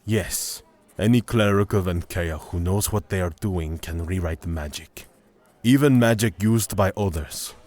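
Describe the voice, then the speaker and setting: Man acting a deep-voiced haughty cleric. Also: a little slow, darkish tone, no echo. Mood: assured. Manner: formal, self-assured, matter-of-fact. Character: haughty, rigid male cleric of the order god. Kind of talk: fantasy audio drama acting.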